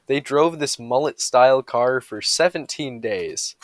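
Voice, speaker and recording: A man's voice: medium pitch, measured pace, no echo. Man reading silly bedtime stories, dry sound. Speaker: storyteller reading short comic stories. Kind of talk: humorous bedtime story read aloud.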